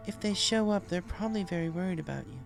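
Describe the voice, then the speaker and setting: A man reads as a female pony nurse in a storybook. The voice sits higher, soft in manner and professional. It is sympathetic yet unbending, over faint music. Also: animated, fast, creaky. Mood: concerned. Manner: gentle, professional, apologetic. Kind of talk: storybook character voice.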